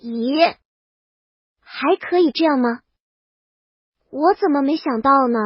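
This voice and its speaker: A woman's voice: high-pitched, takes it slowly, no room sound, highs cut off. A woman voicing a brash, boastful young princess in an audiobook, bold and casual. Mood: frank, puzzled. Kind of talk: audiobook character dialogue.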